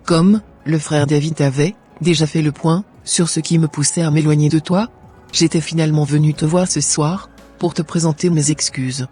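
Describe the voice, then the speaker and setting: Man voicing a husky young woman. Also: fairly high pitch, steady tone, moderate pace, a little mellow, faint music behind. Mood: grateful. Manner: earnest, plaintive, then grateful and pious.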